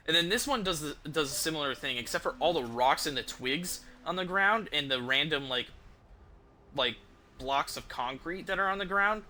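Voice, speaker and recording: A man doing casual commentary for viewers on a game stream, thinking out loud as he goes. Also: mid-range voice. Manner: thinks aloud, hums while deciding.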